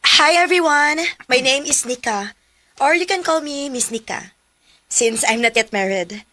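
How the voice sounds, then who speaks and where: A woman's voice: high-pitched, animated, measured pace, bright, forceful tone, full character voice. A woman with a Filipino accent playing a confident, friendly project manager in a radio drama. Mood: confident, welcoming. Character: Miss Nika, confident, friendly single female project manager.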